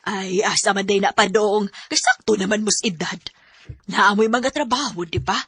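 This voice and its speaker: A woman's voice: mid-range voice, moderate pace, a bit bright, slightly rough, no room sound. An actress with a Cebuano Filipino accent playing a pragmatic middle-aged mother in a Bisaya radio drama. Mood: approving, coaxing. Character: pragmatic middle-aged mother nudging her daughter to marry.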